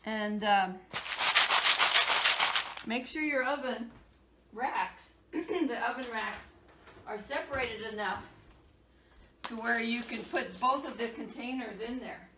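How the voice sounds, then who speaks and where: A woman's voice: fairly high pitch, slow pace, long pauses. A woman in her sixties giving plain step-by-step cooking instructions in her home kitchen. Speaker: home cook. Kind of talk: casual cooking demonstration. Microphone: camera across the kitchen. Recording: echoey kitchen, camera far off, loud noise.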